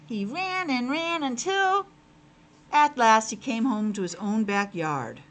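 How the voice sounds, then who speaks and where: Animated, high-voiced woman teacher reading a storybook. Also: slow. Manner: expressive, child-directed, asking questions. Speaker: teacher reading to young children. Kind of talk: storybook narration with simple explanations.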